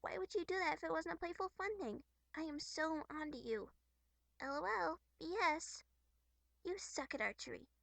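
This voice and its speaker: A woman voicing a playful, excitable, catlike teenage troll girl with a childlike, teasing manner. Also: high voice, moderate pace, gravelly, dry recording, voice pushed up. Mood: teasing indignation.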